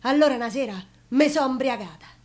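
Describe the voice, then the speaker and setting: Actress for frank, nostalgic Roman prostitute in stage monologue; fairly high female voice, earthy and confiding. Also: a little fast. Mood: bitter. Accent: Roman Italian. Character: proud, frank, nostalgic Roman prostitute telling her story. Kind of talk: theatrical monologue.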